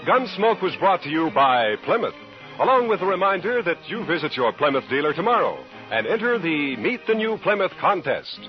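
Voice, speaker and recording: Animated high-pitched American radio announcer man. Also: normal speed. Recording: muffled vintage radio broadcast. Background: music.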